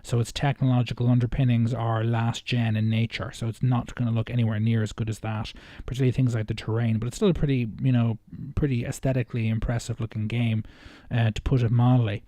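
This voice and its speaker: Mellow-voiced man, rambling game commentator. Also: medium pitch, little pitch movement, fast, hardly any pauses, no echo. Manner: hesitant, rambling, circles back and rephrases. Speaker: reaction video host. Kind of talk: casual unscripted video game commentary.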